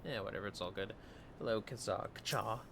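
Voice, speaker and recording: A man's voice: on the low side, fairly quick, vocal fry, a bit bright, slight background noise. A man livestreaming a video game with casual chat, muttering to himself as he plays. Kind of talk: casual gameplay chat mixed with reading dialogue aloud.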